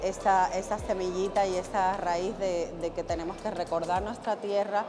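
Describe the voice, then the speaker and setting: A Cuban woman speaks in a flat, even tone, pausing often on fillers as she thinks. Music is clearly heard behind her. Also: medium pitch. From Cuba. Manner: thoughtful, hesitant fillers. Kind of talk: documentary interview.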